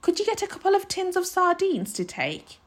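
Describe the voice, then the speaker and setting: A woman's voice: very high voice, very animated, fairly quick, voice pushed up. A woman voicing an anxious, easily flustered tiny wife in a storybook, fretting and moaning her worried questions.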